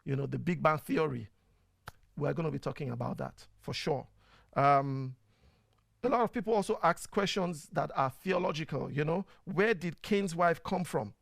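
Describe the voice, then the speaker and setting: A man's voice: a little high, normal speed, gravelly, dry recording, studio quality. A man with a Nigerian accent, hosting a live online program and talking casually through viewers' questions with many fillers. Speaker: host. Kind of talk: casual live-stream talk.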